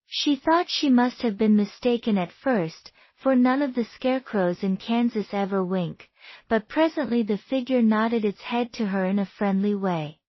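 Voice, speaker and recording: High-pitched woman reading a storybook. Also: moderate pace, no room sound, muffled recording. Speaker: storybook narrator. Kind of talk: scripted bedtime story read-aloud.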